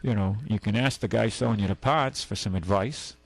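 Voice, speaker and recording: A man's voice: lowish voice, a little fast, vocal fry. A man hosting a call-in radio show, giving folksy, rambling car advice and correcting himself as he goes.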